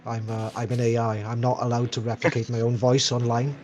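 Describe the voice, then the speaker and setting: A man's voice: mid-range voice, level tone, fairly quick, a little mellow, slight background noise. A man co-commentating on a sim race in a casual, rambling, self-mocking way over an online call.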